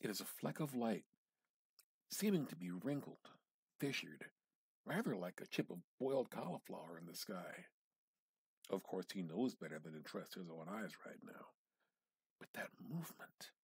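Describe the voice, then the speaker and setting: A man giving a measured, theatrical reading of a literary short story, drawing out words and pausing for effect. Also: deep voice, big pitch swings, breathy edge, no echo. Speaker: amateur reader practicing dramatic reading.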